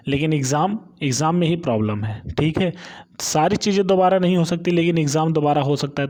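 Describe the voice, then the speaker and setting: Higher-pitched man explaining exam news. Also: a little mellow. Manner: explanatory, repeats a check-in tag at phrase ends. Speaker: host of a job exam update channel.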